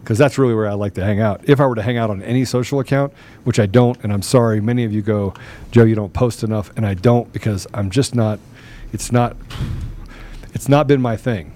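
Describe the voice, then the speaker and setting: A man's voice: on the low side, brisk, mellow, slight background noise. A man hosting a live political talk show in a casual style, with a habit of repeating phrases for emphasis. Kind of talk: casual live talk, sometimes reading questions aloud.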